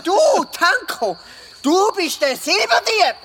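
A woman's voice: very high-pitched, sing-song, slow, fairly bright tone, full character voice. An actress playing an earnest, anxious young peasant boy in a children's audio drama, hesitant and questioning. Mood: shocked, dismayed.

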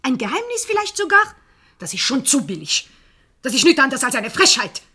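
High, melodramatic jealous Swiss wife. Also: female voice, sing-song, bright, forceful tone, breathy edge, exaggerated voice. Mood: outraged, accusing. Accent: Swiss German. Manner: indignant, theatrical, quick to take offence. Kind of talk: 1970s radio comedy acting.

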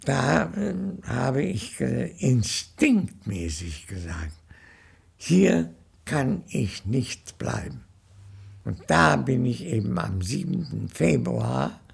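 An older man recalling his past in a slow, halting and emphatic way, with a gravelly, husky voice. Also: mid-range voice, very animated. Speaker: interviewee recalling his emigration. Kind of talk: slow anecdotal reminiscence.

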